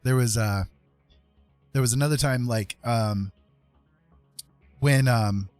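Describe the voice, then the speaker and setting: A man hosting a livestream, casually telling stories in an animated way with drawn-out dramatic phrasing. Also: mid-range voice, clean tone, no room sound, faint music behind. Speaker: livestream host. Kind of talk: casual storytelling. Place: indoor livestream with music behind.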